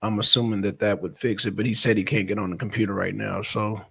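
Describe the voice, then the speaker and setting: A man hosting a live stream in an informal, halting, casual chat style. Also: on the low side, steady tone, creaky, a bit bright, husky.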